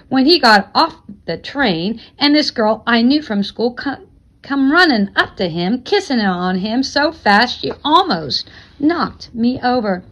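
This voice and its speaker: Woman teacher doing an expressive read aloud of a novel, voice on the higher side. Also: low-quality recording.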